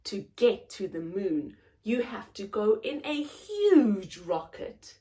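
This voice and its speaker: A woman's voice: mid-range voice, big pitch swings, slow, warm tone. A woman hosting a story time, reading aloud expressively to young children in simple phrases and putting questions to them.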